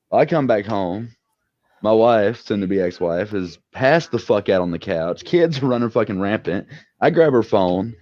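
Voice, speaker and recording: A man's voice: on the low side, darkish tone, no echo. A man swearing his way through a blunt story on a casual live stream. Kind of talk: profane storytelling. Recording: dull lo-fi sound.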